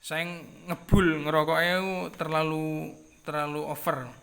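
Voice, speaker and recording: A man in his thirties from East Java with a Madurese accent and a nasal voice, chatting casually to his viewers as a keris collector, unhurried and repeating himself. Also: a little high, level tone. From Jember, East Java, Indonesia. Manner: unhurried, repeats phrases, drops in soft filler sounds. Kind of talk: casual vlog talk to viewers.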